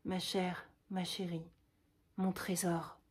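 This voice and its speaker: A woman voicing an aging wounded male poet, tender and proud, who speaks softly and faintly, as if dying. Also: fairly low pitch, flat delivery, slow pace, husky. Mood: hushed, tender. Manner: soft, hushed and dying.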